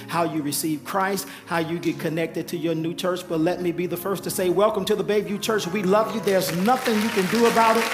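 A hoarse male pastor with a slightly raised pitch calls people forward, coaxing them again and again. He is recorded live in a church sanctuary over a sound system. Music plays underneath and the congregation is audible. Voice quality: hoarse, losing his voice. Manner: urgent repeated coaxing, preacherly. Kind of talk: altar call and announcements at a church service.

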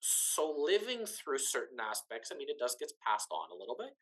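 A man's voice: medium pitch, huge pitch swings, moderate pace, fairly bright tone, low-quality recording. A man talking in a long-form interview over a video link, explaining things conversationally and rephrasing for emphasis. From Canada, Ukrainian diaspora family. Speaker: interview guest, businessperson.

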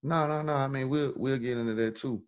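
A man's voice: medium pitch, fairly quick, smooth, dull audio. A man hosting a casual chat, laid-back and slangy. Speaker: host.

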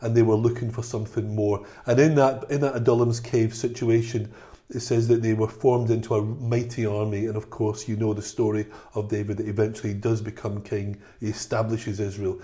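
A middle-aged man from Scotland with a softened Scottish accent, co-hosting a church podcast in an earnest, storytelling way. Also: fairly low pitch, little pitch movement, a little fast. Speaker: podcast co-host, church leader. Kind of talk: casual chat.

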